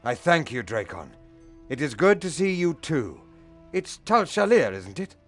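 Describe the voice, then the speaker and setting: Proud, animated old clan leader. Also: male voice, a little high, measured pace, faint music behind, exaggerated voice. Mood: dignified. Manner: formal, emphatic. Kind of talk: fantasy game acting.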